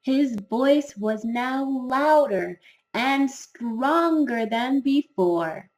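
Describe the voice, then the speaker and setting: A woman reading a children's fairy tale in an expressive, dramatic storytelling style with drawn-out emphasis. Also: high voice, rough recording.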